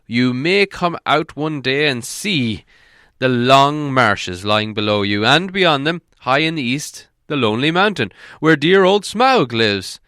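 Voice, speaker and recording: A commanding old wizard, acted by a male storybook reader, bright and clear, pitched a little above the reader's own voice. He lectures emphatically, stern but with good cheer, in a close, dry sound. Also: dry recording.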